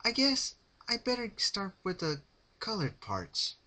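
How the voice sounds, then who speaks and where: A man's voice: high-pitched, wildly swooping pitch, unhurried, sharp tone, voice pushed up, full character voice. A man voicing a bubbly, childish, sadistic female cartoon pony with a sing-song, taunting manner. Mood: gleeful menace.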